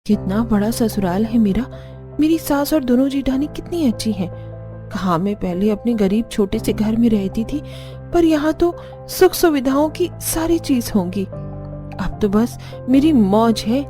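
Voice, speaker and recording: A woman's voice: on the high side, animated, measured pace, dark tone, faint music behind. A woman voicing a naive young new bride in a scripted family cartoon. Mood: dreamy, pleased. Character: young new bride, naive, dismayed by old-fashioned in-laws.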